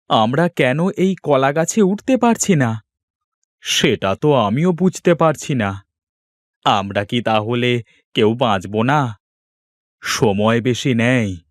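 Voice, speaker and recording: A man's voice: mid-range voice, expressive, slow, long pauses, no room sound, clean studio sound. A man playing a frightened village captive in a cartoon horror tale, anxious and pleading.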